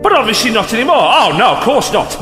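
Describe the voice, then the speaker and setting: A man acting in an audio drama as an anguished, hot-tempered police investigator, intense and halting, repeating himself. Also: sits high, very animated. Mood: indignant, angry. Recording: echoey reverberant sound with music underneath.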